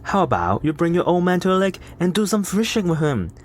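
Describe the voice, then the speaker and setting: A jeering young college lad acted by a man with a high voice that swings widely. He is sarcastic and taunting, in radio drama style. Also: sing-song, a little fast. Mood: mocking. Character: loud, jeering young male college bully. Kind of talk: amateur radio drama acting.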